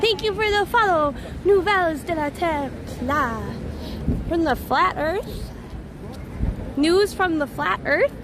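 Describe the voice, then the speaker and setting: Woman streamer, young adult, high voice, chatty, reads chat aloud as she walks, slightly strained voice; loud street traffic behind. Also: lively intonation, slow. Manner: chatty, reads and answers viewer comments aloud. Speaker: livestream host. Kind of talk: casual live stream chat while walking. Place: outdoors on a busy city street. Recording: loud outdoor street noise.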